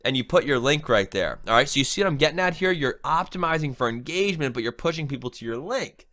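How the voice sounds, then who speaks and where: A man's voice: mid-range voice, animated, fast talker, pushed and bright. A young man with a cold, sounding a little nasal, giving a casual, chatty screen-share tutorial and often checking in with "right?". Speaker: online marketing trainer.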